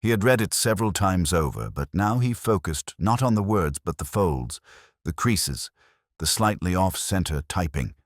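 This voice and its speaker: A very low-pitched man narrates a mystery in a measured, deliberate way. His voice has a husky grain and moves expressively through the literary prose. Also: expressive, no room sound, clean studio sound. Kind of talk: scripted audiobook narration of a mystery, acting out dialogue.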